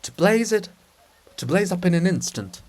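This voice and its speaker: A reader voicing a grandiose, ecstatic holy male poet, declaiming in a drawn-out, rhapsodic way in a stage drama. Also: mid-range voice, animated, lightly acted. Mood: rapturous exhorting.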